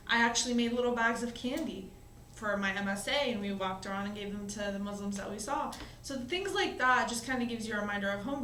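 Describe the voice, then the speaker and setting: A woman in her twenties, a college student, telling her own story in a relaxed, reflective interview, often pausing with "um" and "you know". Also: mid-range voice, slight background noise. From born in Syria, raised in the US. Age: 20s. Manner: conversational, reflective, frequent "um" and "you know" fillers. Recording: roomy echo.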